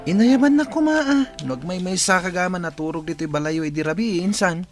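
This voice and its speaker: Man acting a high-pitched, brash village cousin. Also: animated, fairly quick, over music. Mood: easygoing. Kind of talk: comedy radio drama acting.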